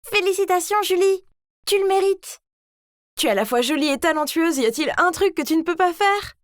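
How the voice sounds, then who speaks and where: Gushing school kid voiced by a woman, super high pitch, excited cartoon story voice, dry sound. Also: fairly quick, no echo. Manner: exclamatory, gushing.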